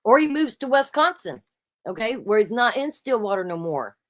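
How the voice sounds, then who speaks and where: A woman hosting a casual chat, loud-voiced and emphatic, who keeps saying "absolutely" and "listen.". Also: on the high side, big pitch swings, moderate pace. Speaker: host.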